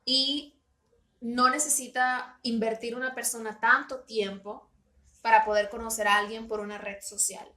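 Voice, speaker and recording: A woman from Peru co-hosts a relaxed podcast chat, her voice high and bright. She hesitates often and fills her pauses. The room around her rings with echo. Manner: hesitant, many fillers. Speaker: podcast co-host.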